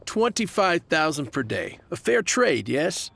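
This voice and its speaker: A man's voice: fairly high pitch, big pitch swings, measured pace, gravelly, fairly bright tone, a little hiss or hum, full character voice. An actor playing a shrewd male labourer for hire in a video game, curt and matter-of-fact. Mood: businesslike.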